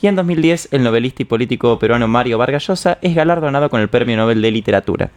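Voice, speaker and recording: A man rattles through a list of historical dates at a quick clip. His voice is an ordinary male middle, and his reading is matter-of-fact, with a small personal aside here and there. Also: medium pitch, lively intonation. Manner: brisk, matter-of-fact listing. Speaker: radio co-host reading anniversaries.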